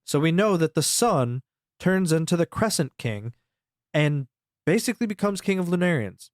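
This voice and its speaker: A man's voice: on the high side, expressive, normal speed, dry recording. Informal male podcast host, dry close sound. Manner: rambling, informal, swears freely. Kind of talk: casual recap storytelling.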